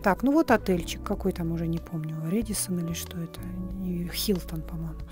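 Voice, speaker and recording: Slightly hoarse woman vlogging on a phone. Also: fairly low pitch, a little fast, music in the background. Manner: chatty, frank, playfully crude, drops to whispery asides. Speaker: vlogger, psychologist. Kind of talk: casual travel vlog, room tour. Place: hotel and city streets in and out of doors.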